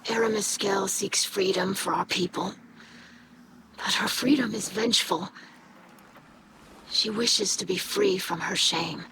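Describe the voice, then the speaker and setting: A woman's voice: medium pitch, measured pace, long pauses, natural voice. An actress playing an earnest young female alien scholar in a video game, speaking in a formal, precise way. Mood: solemn. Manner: formal, precise wording without contractions. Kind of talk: video game dialogue acting. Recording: clearly noisy background.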